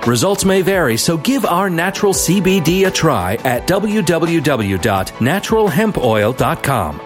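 A male announcer reading a scripted commercial with a lively, swinging intonation and a slightly raised pitch. His delivery is smooth and persuasive, with faint music underneath.

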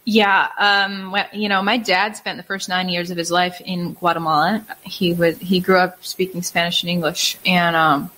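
A woman's voice: on the low side, level tone, normal speed, hardly any pauses, pushed and bright, low-quality recording. A woman co-hosting a casual talk show, bringing up news stories and chatting with frequent fillers. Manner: conversational, with frequent fillers when speaking off the cuff. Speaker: co-host. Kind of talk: casual talk, reading a news story aloud.

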